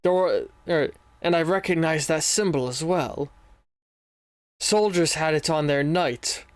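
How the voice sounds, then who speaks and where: A man voicing an ancient male vampire who once fought as a soldier, speaking in a measured, halting way. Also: fairly high pitch, clear, resonant tone, no room sound, natural voice. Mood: grave.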